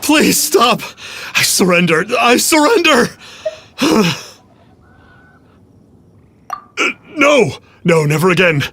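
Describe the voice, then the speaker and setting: A man's voice: sits high, big pitch swings, slow, pushed and bright, slight background noise, heavily acted. A man playing a pompous, cowardly charlatan who poses as an immortal sage in a video game, with theatrical, formal diction. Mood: panicked, pleading. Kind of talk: video game character acting.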